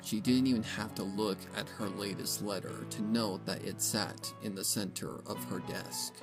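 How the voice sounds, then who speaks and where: Female story narrator, extremely low register, dramatic scripted read, music bed. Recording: music behind the voice.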